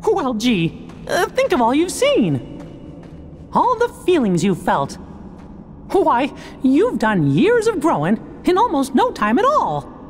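A man's voice: very high voice, wildly swooping pitch, slow pace, a little hiss or hum, exaggerated voice. A man voicing a small, kindly cartoon king who talks in a folksy, reassuring way in a video game. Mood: caring, encouraging.

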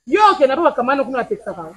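A man acting in a comic sketch outdoors, with a husky voice that often turns loud and excited. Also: very high voice, fast.

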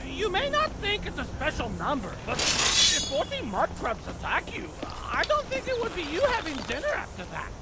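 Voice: high voice, huge pitch swings, moderate pace, hardly any pauses, heavy background noise, exaggerated voice. An actor voicing a small, chatty, boastful rat creature in a video game, rambling with hesitant asides. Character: small chatty boastful skeever, hard-drinking step counter. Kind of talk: scripted game companion dialogue. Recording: loud music behind the voice.

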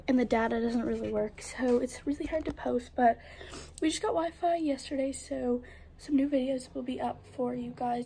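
A teenage girl vlogging casually about her horse at a barn, talking into a phone camera she holds close, chatty with fillers and quick asides. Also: high voice, mellow, a little hiss or hum. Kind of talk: casual vlog narration. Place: horse barn and outdoor riding arena. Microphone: phone camera held close.

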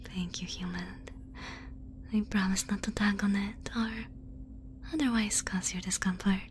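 A woman's voice: medium pitch, fairly even intonation, unhurried, slight background noise, close to an everyday voice. A woman playing a polite, clinical, curious female alien in an ASMR roleplay, whispering in a formal, careful way. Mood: gentle, careful. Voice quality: breathy whisper.

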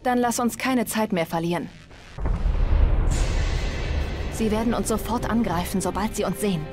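An actress plays a warrior woman in a sci-fi video game. Her voice sits at a medium pitch, and she speaks formally and deliberately, like a logical mind learning to feel. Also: over music, lightly acted. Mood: matter-of-fact. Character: earnest, formal warrior woman, logical but newly emotional. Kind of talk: video game dialogue acting.

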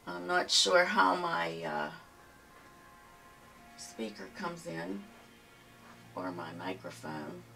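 A soft-spoken woman with a Pittsburgh accent, teaching a step-by-step painting tutorial in her home studio and talking unhurriedly as she works. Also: fairly low pitch, lively intonation, gravelly. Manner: unhurried, murmurs to herself while painting. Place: home art studio, indoors. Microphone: camera mic from behind, far off. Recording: distant camera mic with faint music.

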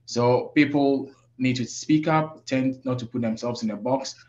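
A man from Africa who works as a safety professional, speaking on a webinar panel in a measured, reflective way with many pauses. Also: medium pitch, a little mellow, highs cut off. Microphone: video call.

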